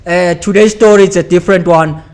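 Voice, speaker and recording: A man's voice: sits high, fairly even intonation, darkish tone. Upbeat South African man hosting. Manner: encouraging, quick to affirm with "yeah". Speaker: podcast host. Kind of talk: casual interview hosting.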